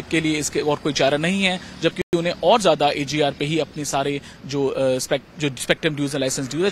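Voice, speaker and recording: A young man reporting outdoors in a city with a handheld mic, explaining the story in a slightly halting way. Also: on the high side, fairly bright tone. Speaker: field reporter. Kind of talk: news report. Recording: faint street noise. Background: traffic and city ambience.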